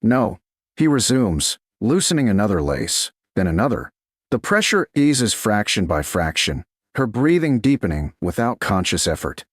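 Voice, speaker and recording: Low guy narrating a romance, lots of pitch movement, dry close sound. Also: very animated, no echo. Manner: slow, hushed, deliberate reading.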